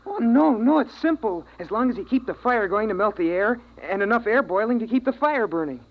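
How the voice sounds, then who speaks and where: An actor playing an earnest, frightened young boy in a slow, suspenseful 1950s radio drama performance, on an old recording. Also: high voice, expressive. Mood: eager. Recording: noisy, dull vintage radio recording.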